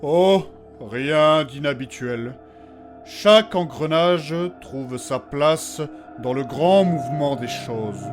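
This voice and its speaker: An actor playing a calm, quietly menacing master in a fantasy audio drama, measured and falsely reassuring. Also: male voice, a little high, over music.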